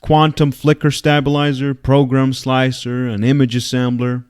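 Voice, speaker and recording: A man voicing a brash, volatile young woman hacker in a story reading, with excitable, slangy, emphatic talk. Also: mid-range voice, normal speed, clean tone, pitched above the performer's own voice, close to an everyday voice. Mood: proud.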